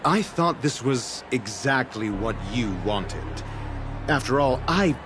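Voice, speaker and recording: Over loud music, a male schemer from a video game talks slowly. His lilting, highly varied pitch makes him sound theatrical, mocking and insinuating. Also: animated. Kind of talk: dramatic video game voice acting.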